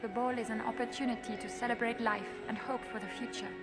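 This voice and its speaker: A woman's voice: a little high, steady tone, fast talker, lightly acted. An actress voicing a haughty, condescending female noble courtier in a video game, with a polished manner. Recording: game dialogue over loud music.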